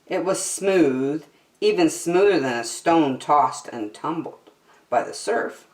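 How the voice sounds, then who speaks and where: Animated, slow-reading woman storyteller. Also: low voice. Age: middle-aged. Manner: animated, deliberate. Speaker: children's story time host. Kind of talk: expressive picture-book read-aloud. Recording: slightly echoey room.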